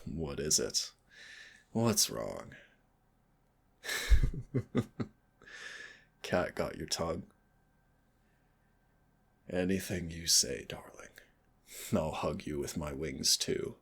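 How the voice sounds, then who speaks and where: Man voicing a very deep, gravelly demon prince. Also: animated, fairly bright tone, exaggerated voice. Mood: teasing, seductive. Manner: slow, theatrical, teasing endearments and solemn declarations. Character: brooding, tender male demon prince, winged, devoted to his hunter. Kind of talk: intimate romantic audio roleplay.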